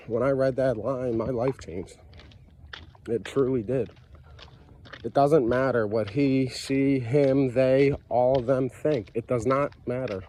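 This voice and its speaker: A man's voice: mid-range voice, dark tone, slight background noise. A stuffy-nosed man with a cold, talking earnestly and casually about his own life, with lots of fillers and repeated phrases. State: congested with a cold, stuffy nose. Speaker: vlogger sharing his recovery story. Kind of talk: casual personal monologue.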